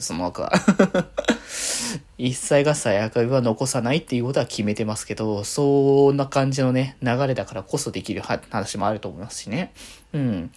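A woman's voice: extremely low pitch, a little mellow. A woman hosting a casual solo radio chat, rambling along in a chatty, giggly way. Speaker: solo podcast host and game streamer.

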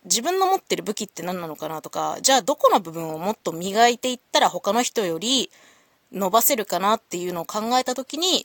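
A woman's voice: fairly low pitch, fairly bright tone, no echo. A woman hosting a solo audio show, talking casually to listeners in a polite, reflective, explanatory way.

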